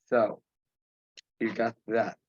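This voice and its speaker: A man chairing an informal online meeting, speaking haltingly with pauses mid-sentence. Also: on the low side, little pitch movement, no echo. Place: remote teleconference. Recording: dull, muffled conference call audio.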